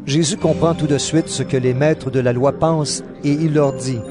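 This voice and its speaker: A male narrator reads a scripted audio Bible drama. His voice sits a little high for a man, and music plays clearly behind the narration. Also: fairly even intonation, normal speed.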